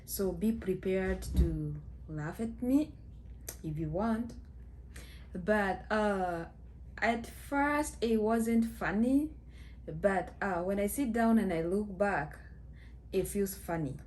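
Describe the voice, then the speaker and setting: A woman's voice: mid-range voice, a little mellow, low-quality recording. A woman in her thirties from Kenya with a Kenyan accent, telling a story to the camera in a chatty way full of fillers. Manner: slow, chatty, many fillers, mixes in Swahili phrases. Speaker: vlogger. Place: small indoor room at home.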